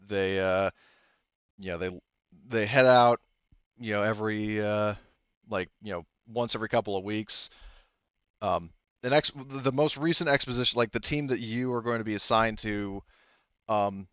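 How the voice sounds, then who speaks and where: Male game master, low smooth voice, dry slightly dull recording, narrating tabletop play with hesitant detours. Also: a bit bright, dry recording. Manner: rambling and hesitant, often backtracking.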